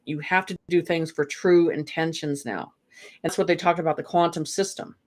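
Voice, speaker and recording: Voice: medium pitch, steady tone, moderate pace. A guest speaking earnestly in a casual chat, rambling with many fillers and restarts.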